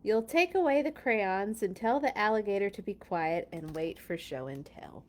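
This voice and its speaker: A woman reading a children's storybook aloud in a playful, expressive way, with casual chatty asides. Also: mid-range voice, normal speed. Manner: playful, expressive, exclaims and comments while reading.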